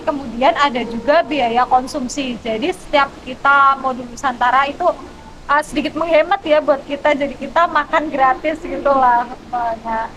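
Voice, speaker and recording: A young woman speaks in a high-pitched voice as a student guest. She explains things casually and informally. The recording has clear background noise. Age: young adult. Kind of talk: casual explanatory interview talk.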